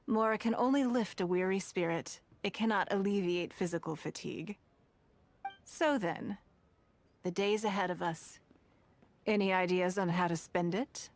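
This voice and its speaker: A woman voicing a poised, shrewd, wealthy businesswoman in a video game, speaking in a calm, measured, elegant way. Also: medium pitch, close to an everyday voice. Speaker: game character.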